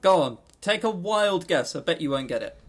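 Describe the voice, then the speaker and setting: A man co-hosting a podcast, chatting casually about games and telling stories in an animated, jokey, sweary way. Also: high voice, normal speed. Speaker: podcast co-host.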